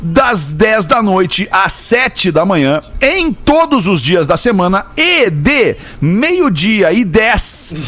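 Lively host, animated pitch. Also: male voice, takes it slowly, hardly any pauses. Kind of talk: casual radio chat and news reading.

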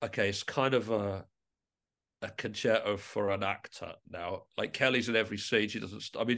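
Low-pitched middle-aged man, thoughtful and hesitant. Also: creaky, a bit bright. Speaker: guest musician, composer and writer. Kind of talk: conversational podcast interview.

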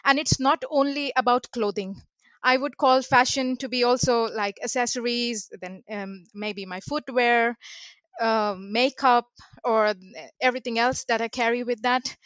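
A woman's voice: on the high side, steady tone, measured pace, fairly bright tone, clean tone, no echo. A woman who is a fashion blogger, answering an interview with frequent hesitations and fillers. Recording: dry, dull lo-fi.

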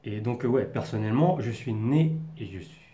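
A man's voice: mid-range voice, expressive, normal speed, mellow. A young man from the Paris region telling a personal story to camera in an earnest, reflective way, searching for his words. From France, Paris region. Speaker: vlogger. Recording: echoey room.

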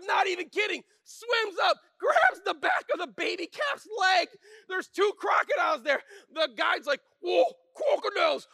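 A man preaching a sermon as pastor, telling stories in an animated way and speaking with earnest emphasis. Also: extremely high pitch, gravelly, no room sound, clean studio sound. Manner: animated and shouting in the story, then quiet and earnest. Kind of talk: storytelling sermon. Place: church.

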